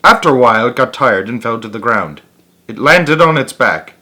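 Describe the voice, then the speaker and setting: A man narrating as a storyteller, reading aloud for children in an animated style. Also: medium pitch, normal speed. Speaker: storyteller, channel host.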